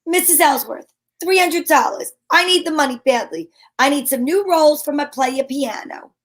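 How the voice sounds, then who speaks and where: A woman's voice: high-pitched, lively intonation, normal speed. A woman playing a wry, wisecracking female private eye in an old-time radio detective drama. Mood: wry narrating. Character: wry, breezy woman private eye narrating her case.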